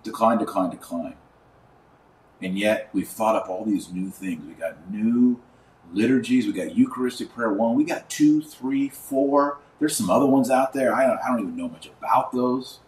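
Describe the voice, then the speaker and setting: In a roomy, echoing space, a man with a low voice and an American accent speaks a little away from the mic. He delivers informal podcast commentary, stacking emphatic lists and repeating words for effect. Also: normal speed, darkish tone. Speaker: podcast host; online course teacher. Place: indoors at a desk. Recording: roomy echo, distant mic, faint noise.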